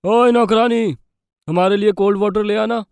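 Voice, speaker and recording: A woman's voice: medium pitch, steady tone, normal speed, vocal fry, darkish tone, clean tone, close to an everyday voice. A woman voicing a rude, mocking rich man at a party in a cartoon story.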